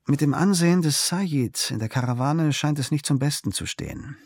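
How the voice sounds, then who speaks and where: A man calmly reading a travel diary aloud as scripted narration, measured and unhurried. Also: a little high, no room sound. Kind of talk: calm scripted literary narration.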